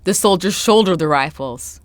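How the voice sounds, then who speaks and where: A woman's voice: on the low side, expressive, moderate pace, no room sound, natural voice. A woman voicing a frightened male baseball player in a dramatic, suspenseful first-person audiobook reading. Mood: tense, dramatic.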